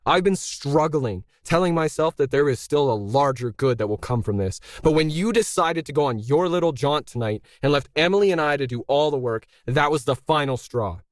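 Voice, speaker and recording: A man's voice: a little high, expressive, moderate pace, few pauses, no echo, pitched above the performer's own voice, close to an everyday voice. A man voicing a bitter middle-aged male artist in a story, indignant, pressing and sarcastic. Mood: bitter, aggrieved.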